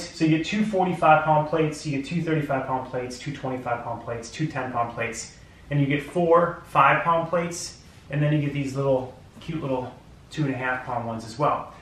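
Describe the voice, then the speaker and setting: A man in his thirties casually reviewing gym gear at home, his voice sitting at an ordinary middle pitch. He rambles and restarts his sentences, full of fillers. The sound bounces hard around a bare, very echoey room, picked up by a camera mic a few feet off. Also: a bit bright, a little hiss or hum. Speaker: product reviewer.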